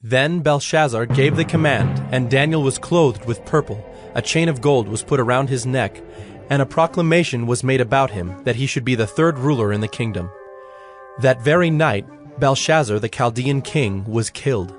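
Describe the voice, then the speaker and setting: A man's voice: mid-range voice, normal speed, faint music behind. A man narrating a dramatized scripture reading in a scripted storytelling style.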